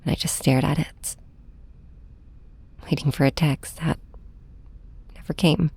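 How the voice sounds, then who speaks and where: A woman playing a heartbroken young superhero in an intimate audio drama, speaking softly and haltingly with a breathy voice. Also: deep voice, fairly even intonation, dry recording, full character voice. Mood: hurt, subdued. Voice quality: soft, breathy. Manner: hesitant, halting, hushed. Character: heartbroken young flying superhero confiding in and snapping at nemesis. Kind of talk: first-person audio drama narration, ASMR hybrid.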